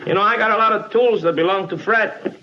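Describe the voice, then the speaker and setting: A man's voice: sits high, fairly quick, close to an everyday voice. A man playing a sentimental, hesitant husband in a 1950s radio sitcom. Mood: wistful. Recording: dull, hissy 1950s radio recording.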